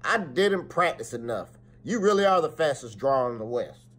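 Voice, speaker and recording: A man's voice: mid-range voice, sing-song, moderate pace, fairly bright tone. A man voicing a confident young cowgirl challenger from a storybook, folksy and emphatic. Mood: conceding.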